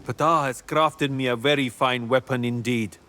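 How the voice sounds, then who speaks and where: An actor playing an ancient temple builder and wise, commanding guide in a fantasy game, speaking in a formal, deliberate, portentous way. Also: male voice, mid-range voice, fairly even intonation, pushed and bright. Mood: pondering, grave. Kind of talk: dramatic scripted game dialogue.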